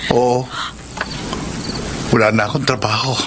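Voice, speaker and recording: Subdued young husband played by an actor. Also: male voice, medium pitch, steady tone, a little slow, natural voice. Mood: dejected. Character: dejected young husband who is subdued and cautious. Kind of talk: Tagalog radio drama acting.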